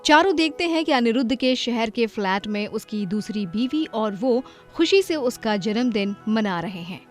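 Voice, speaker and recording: A woman narrating a cartoon moral story in an expressive storybook style. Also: a little high, moderate pace, few pauses. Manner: expressive, emotional. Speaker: narrator and heroine Madhu. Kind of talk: storybook narration and acted dialogue. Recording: background music under voice.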